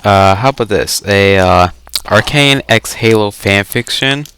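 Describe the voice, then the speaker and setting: A young man chatting casually over a game and reading fan fiction aloud, halting and stumbling over words now and then. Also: on the low side, a bit bright, smooth.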